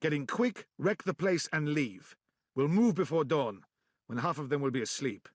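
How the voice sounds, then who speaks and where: A weary resistance leader. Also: male voice, a little high, moderate pace, no room sound. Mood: steady. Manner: calm, dry understatement. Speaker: narrator.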